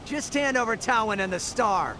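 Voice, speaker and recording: Young, determined alien hero, animated. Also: male voice, high-pitched, normal speed, noisy background. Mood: defiant. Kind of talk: video game cartoon acting. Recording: music underneath.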